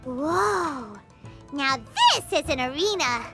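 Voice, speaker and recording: A woman voicing a tiny, childlike fairy companion in a video game, chirpy, curious and excitable. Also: high-pitched, slow pace. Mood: excited. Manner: excitable, chirpy, speaks of self in third person. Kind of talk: animated game voice acting. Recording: music playing behind the voice.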